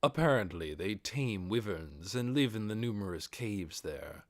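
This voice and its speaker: Male reader as fantasy audiobook undead overlord: low, measured, commanding, explanatory. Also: animated, dry recording, studio quality. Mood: serious, explaining.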